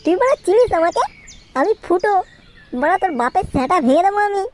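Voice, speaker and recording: A woman's voice: extremely high pitch, animated, brisk, noisy background. A woman voicing a cheeky, boozing young troublemaker in a comic cartoon, with brash, slangy speech. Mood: brash, teasing. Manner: brash, crude slangy talk.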